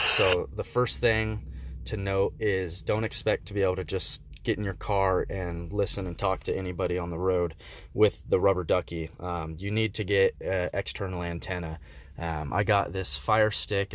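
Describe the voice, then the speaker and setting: A man giving a casual, unscripted product review in a laid-back, hesitant way, with frequent pauses and ums. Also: low voice, steady tone. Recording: faint background noise.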